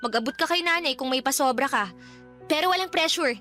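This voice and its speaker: An outspoken young Filipina best friend in a radio drama. Her voice is very high and bright, with slangy, teasing talk in a Filipino accent, over a light music bed. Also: brisk. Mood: urging, reassuring. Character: Jem, outspoken, jokey young daughter and loyal best friend.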